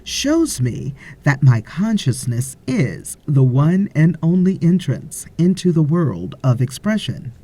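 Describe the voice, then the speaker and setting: A woman narrating a spiritual audiobook in deliberate, measured phrases with pauses. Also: a bass voice, dark tone, husky. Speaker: audiobook reader.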